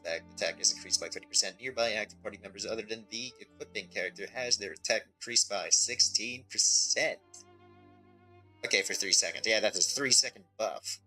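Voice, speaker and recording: A male game streamer with a fairly low but very bright, punchy voice. He commentates casually, thinking aloud and reading from the screen, with music behind him. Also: brisk, low-quality recording. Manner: thinks aloud, reads on-screen text, asks himself questions.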